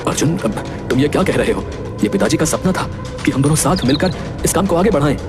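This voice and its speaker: Man voicing a calm, sensible older brother. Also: fairly high pitch, quick pace, natural voice. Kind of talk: Hindi cartoon voice acting. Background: background music.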